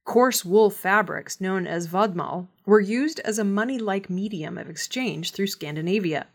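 A woman reading scripted news in a measured, formal delivery. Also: mid-range voice. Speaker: news reader.

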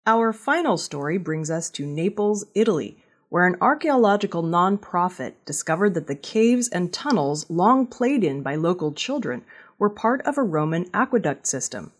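A woman reads the news from a script in a slightly low register. Her voice has a husky grain, and her intonation moves in a lively way through each sentence as she narrates. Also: normal speed. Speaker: news reader.

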